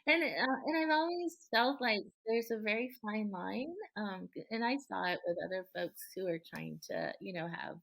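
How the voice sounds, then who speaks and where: A middle-aged woman speaking as a guest in a relaxed interview, earnest and thoughtful, with frequent ums, restarts and light laughter. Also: mid-range voice, moderate pace, vocal fry, hardly any pauses, low-quality recording. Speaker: guest, local politician.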